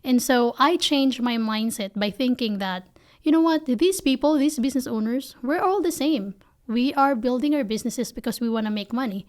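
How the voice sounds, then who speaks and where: Animated Filipino woman, higher voice. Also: normal speed. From Philippines. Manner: earnest and animated, frequent "you know". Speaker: guest, company founder. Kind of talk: candid interview answers. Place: small office studio with bookshelves. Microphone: desk podcast mic on boom arm.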